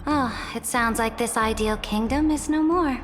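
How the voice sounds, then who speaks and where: Game role: haughty, ruthless empress played by an actress, high-pitched and stately, over prominent music. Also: female voice, moderate pace, lightly acted. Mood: haughty curiosity. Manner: formal, stately, declamatory. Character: imperious female emperor, proud, cold, ruthless. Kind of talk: dramatic video game voice acting. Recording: background music under the voice.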